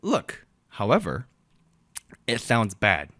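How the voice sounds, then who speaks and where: A man's voice: fairly low pitch, wildly swooping pitch, slow, pushed and bright, dry recording, clean studio sound. A man whose first language is Korean, co-hosting a podcast in casual chat, opinionated and joking. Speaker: podcast co-host.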